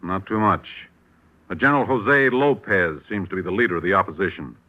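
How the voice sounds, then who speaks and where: An actor playing a brisk, worried intelligence bureau chief who speaks in an urgent, businesslike way, in a 1950s radio drama. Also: male voice, deep voice, close to an everyday voice. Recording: dull old radio recording.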